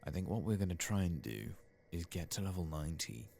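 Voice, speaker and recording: A soft-spoken man doing casual, unhurried ASMR commentary over a game playthrough, sometimes dropping toward a whisper. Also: low voice, animated, creaky, slight background noise. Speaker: host of a gaming ASMR channel.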